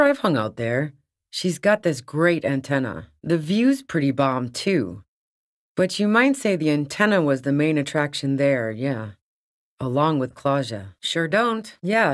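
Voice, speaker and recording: A woman's voice: deep voice, no echo, studio quality. A woman voicing a tough, cynical, streetwise smuggler on the run in a video game, with a blunt, sardonic manner. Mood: blunt, dismissive. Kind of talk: video game character dialogue.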